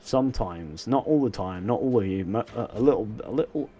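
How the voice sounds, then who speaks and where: A man's voice: on the low side, lively intonation, a little fast, dark tone. A young man, chatting casually and answering chat questions while playing a game live. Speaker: gaming livestreamer.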